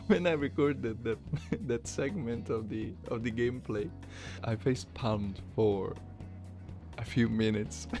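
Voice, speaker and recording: A man's voice: medium pitch, slow, over music. A man giving casual, self-deprecating commentary to his viewers in a hesitant, giggly way. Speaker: voice challenge video creator.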